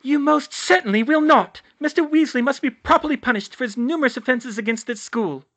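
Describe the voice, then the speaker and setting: Man as a shrill, officious schoolmistress. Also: brisk, a bit bright, exaggerated voice. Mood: outraged. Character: pompous, shrieking, strict woman school official. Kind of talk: audiobook character voice.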